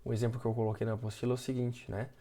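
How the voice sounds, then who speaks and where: A man's voice: mid-range voice, flat delivery, rapid-fire, dark tone. A man teaching an English lesson in a video, explaining patiently and often checking in with short tag questions.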